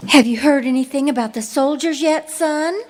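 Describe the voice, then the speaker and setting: A woman's voice: sits high, normal speed, faint music behind, close to an everyday voice. An actress playing a fretful, protective frontier mother, melodramatic in the style of a 1930s radio western. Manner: fretting, melodramatic.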